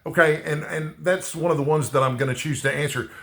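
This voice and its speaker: A man's voice: fairly high pitch, fairly even intonation, vocal fry, fairly bright tone, roomy sound. Guy with a bit of a texan accent rambling casually to the camera, keeps saying okay. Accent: slight Texan. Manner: rambling, repeats "okay?" often, halting restarts. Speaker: booktuber.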